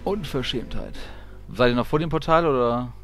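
A man's voice: medium pitch, normal speed, a bit bright. A man streaming a game live, chatting and grumbling as he thinks aloud. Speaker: gaming streamer. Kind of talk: casual live gameplay commentary. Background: game music.

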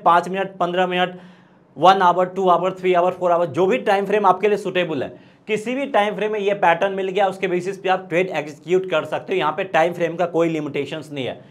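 A man in his thirties with an Indian accent, giving an explanatory trading lesson and answering his own questions as he goes. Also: sits high. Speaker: stock trading instructor. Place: indoor studio, presenting at a screen. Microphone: lapel.

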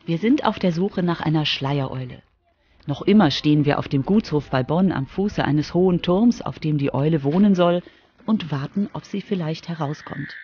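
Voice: medium pitch, dull audio, slight background noise. A narrator telling a scripted radio feature for children. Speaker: narrator, reporter.

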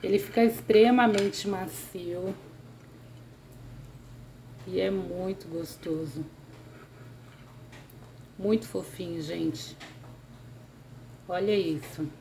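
A woman's voice: lowish voice, fairly even intonation, extremely slow, vocal fry, long pauses, warm tone, a little hiss or hum, low-quality recording. A woman hosting a home cooking channel, walking through a recipe step by step in a friendly way, fond of diminutives. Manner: friendly, uses many diminutives.

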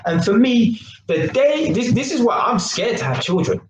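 A man's voice: high voice, normal speed. A man, talking casually and with a lot of slang to a webcam in a small kitchen, often checking in with "yeah?". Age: 20s. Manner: animated, slangy, keeps asking "yeah?" and gesturing. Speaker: vlogger. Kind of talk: casual monologue to camera. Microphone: webcam at arm's length. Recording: echoey kitchen, faint noise.

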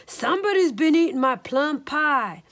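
A woman's voice: high voice, animated, normal speed, voice pushed up. A woman voicing a great big indignant papa bear in a storybook, with a growling voice. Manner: loud, indignant exclaiming.

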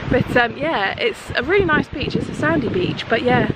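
A woman's voice: a little high, expressive, normal speed. A British woman in her thirties chatting casually to a handheld camera outdoors as a travel vlogger. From United Kingdom. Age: 30s. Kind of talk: casual vlog chat to camera. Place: outdoors on seafront paths and roadsides. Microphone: handheld camera at arm's length. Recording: loud outdoor wind and traffic noise.

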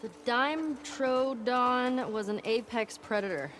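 A woman's voice: on the high side, unhurried, fairly bright tone, a little hiss or hum. An actress playing a curious, teasing teenage girl in a naturalistic video game style. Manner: casual, teasing. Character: curious, playful, sassy teenage girl exploring a ruined museum.

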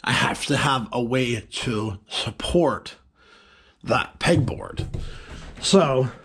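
Slow, easygoing man vlogging his workshop. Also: mid-range voice, expressive, creaky, fairly bright tone, slightly rough. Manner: slow, easygoing, explaining step by step. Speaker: fishing vlogger. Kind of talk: casual vlog talk to camera. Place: outdoors by a pond and in a small wooden shop shed. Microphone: camera-mounted mic.

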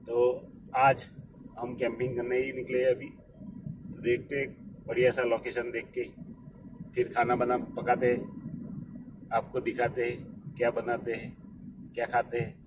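A man's voice: mid-range voice, measured pace, pauses often. Man vlogging from a noisy moving car. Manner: relaxed, chatty, addresses viewers directly. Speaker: travel vlogger. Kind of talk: casual travel vlog chat. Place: inside a moving car on a highway, later outdoors in a field. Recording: distant mic with loud road and wind noise. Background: car engine and road noise.

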